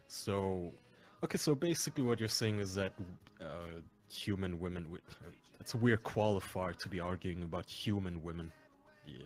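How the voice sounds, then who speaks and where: Low-voiced man asking probing questions. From Turkey. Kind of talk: debating on a voice call. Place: online voice chat.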